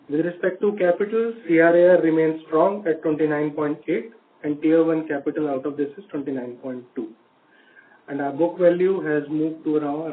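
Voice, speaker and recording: A man with an Indian accent speaking as a finance executive, formally presenting results on an earnings call. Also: fairly high pitch. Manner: formal, figure-heavy reporting. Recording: tinny phone line with faint background noise.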